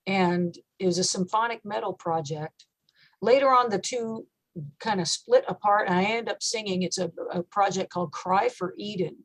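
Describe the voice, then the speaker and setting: Woman, metal vocalist, low voice, relaxed interview talk, lots of "you know" and restarts. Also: normal speed, rough recording. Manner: relaxed, thoughtful, with frequent fillers and restarts. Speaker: metal singer and guest. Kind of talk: casual interview chat.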